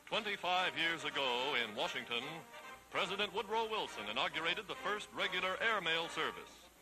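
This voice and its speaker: A man's voice: on the high side, expressive, normal speed, low-quality recording. A man narrates a wartime newsreel in a formal, declamatory announcer style, on a vintage 1940s film soundtrack. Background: loud orchestral newsreel music.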